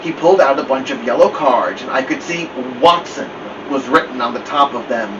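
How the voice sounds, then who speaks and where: A man reading a storybook aloud as a story-time host, with expressive narration. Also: medium pitch, a little fast. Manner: expressive read-aloud delivery. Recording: muffled lo-fi, distant mic, loud hiss.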